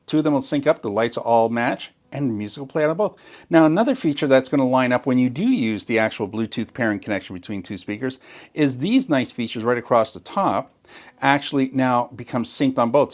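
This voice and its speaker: Enthusiastic man explaining speaker gear. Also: mid-range voice. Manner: enthusiastic, explanatory, repeats "very important". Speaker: gear demonstrator. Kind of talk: tutorial walkthrough.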